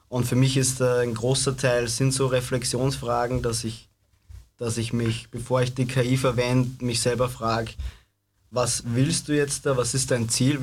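This is a man at a middling pitch. His intonation stays quite even as he talks reflectively, in the manner of a guest in a discussion.

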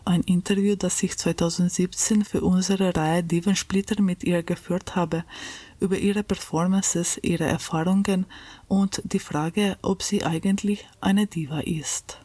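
A woman radio host reading a scripted tribute in a calm, measured way. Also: lowish voice, husky, dry recording.

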